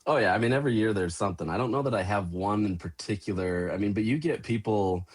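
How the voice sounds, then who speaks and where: A man's voice: on the low side, quick pace, breathy edge. A man speaking as a sportswriter guest in a casual interview, easygoing and full of you-knows.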